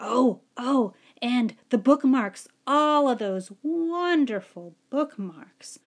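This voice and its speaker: A woman acts out a cheeky storybook pigeon with a high voice. It begs and schemes with theatrical outbursts, eager to get its way. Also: animated, slow pace, heavily acted. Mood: eager scheming. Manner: wheedling, dramatic outbursts. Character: pleading, mischievous young male pigeon scheming to be librarian.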